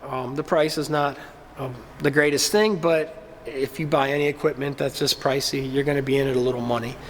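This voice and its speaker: A man's voice: medium pitch, fairly even intonation, a little fast, big-room sound, slight background noise. A man getting over a cold gives a casual, plain-spoken review of a machine in his workshop, with lots of ums. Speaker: small-business maker reviewing his laser cutter.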